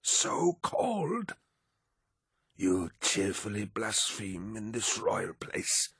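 A frail old ruler, bitter and defiant, as a narrator puts him on, speaking in a thick antique accent. His voice is breathy, rasping and gravelly, and it rises and falls widely. He speaks slowly in a bright, tense tone. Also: on the low side, expressive, no room sound, studio quality, voice pushed up, full character voice. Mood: indignant. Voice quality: raspy and gravelly. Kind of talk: audiobook character voice.

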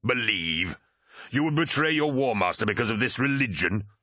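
A man voicing a contemptuous, fanatical military commander in a science fiction audiobook, ranting forcefully and scornfully. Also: mid-range voice, lively intonation, moderate pace, dry recording, studio quality. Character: contemptuous male war-machine commander, fanatical traitor.

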